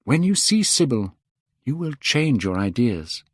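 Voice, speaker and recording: A man voicing an excitable, lovestruck young man, speaking high and eager in a storybook reading. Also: a little slow, breathy edge, no echo, studio quality.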